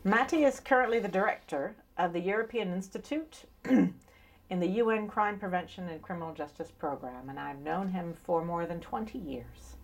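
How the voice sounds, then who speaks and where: A woman's voice: fairly low pitch, normal speed. A middle-aged woman introducing an interview in a formal manner, reading from notes. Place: small indoor interview set.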